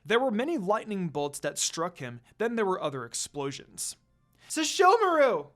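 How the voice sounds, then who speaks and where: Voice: mid-range voice, big pitch swings, moderate pace, faint music behind. A fan fiction reader narrating a story in a dramatic reading style.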